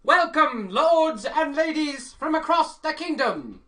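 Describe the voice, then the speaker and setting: A woman playing a pompous royal tournament herald in a comic radio play, making deadpan ceremonial proclamations. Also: high-pitched, slow, heavily acted.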